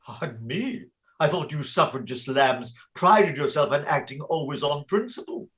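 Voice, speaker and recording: An actor playing a genteel, gently pompous lord in a theatrical comedy, courteous and ornate. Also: male voice, a little high, expressive, normal speed. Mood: politely puzzled. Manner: courteous, ornate, mock-solemn. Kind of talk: theatrical radio comedy acting.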